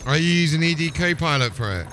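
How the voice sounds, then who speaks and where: A man's voice: fairly high pitch, fast talker, a bit bright, faint music behind. A man doing casual live commentary as a game streamer.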